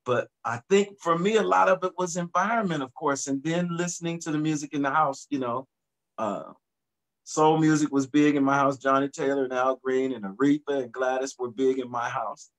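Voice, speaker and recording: A middle-aged man, a singer guest, talking in a relaxed, reflective way about his background on a video call from his home studio. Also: mid-range voice, normal speed. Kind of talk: casual video-call interview.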